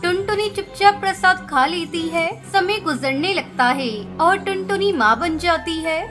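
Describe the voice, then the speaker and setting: A woman's voice: extremely high pitch, a little slow. A woman reading scripted narration for a children's cartoon story. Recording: music under the narration.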